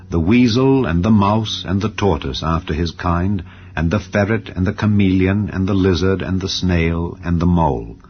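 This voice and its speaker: A man reading scripture aloud in a solemn, measured dramatized recitation. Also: deep voice. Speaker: Bible reader. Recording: dull audio under soft music. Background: faint background music.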